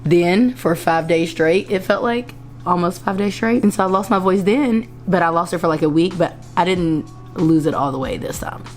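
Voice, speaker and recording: A young woman with a hoarse, lowish voice, talking to camera at home as she puts on makeup. She rambles in a chatty way, stopping to restart her sentences. Soft music plays faintly underneath. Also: moderate pace. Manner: rambling, self-correcting, chatty. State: hoarse after a concert. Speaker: vlogger. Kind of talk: casual chat while doing makeup. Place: indoors at home.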